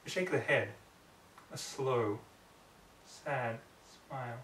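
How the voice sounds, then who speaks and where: A man's voice: medium pitch, slow, fairly bright tone, slight background noise. Echoey man reading a story dramatically. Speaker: narrator. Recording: roomy echo, distant microphone.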